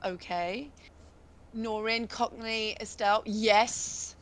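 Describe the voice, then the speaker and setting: A woman with a husky voice, co-hosting a casual Q&A chat, rambling and laughing often. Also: a little high, fairly even intonation, slow. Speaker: voice actress and co-host.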